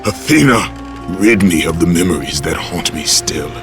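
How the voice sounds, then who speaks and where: Deep gruff warrior guy, bitter, loud music behind. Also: expressive, normal speed. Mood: despairing. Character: grim vengeful Spartan warrior, haunted and bitter. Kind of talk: video game cutscene acting. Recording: game cutscene under loud music.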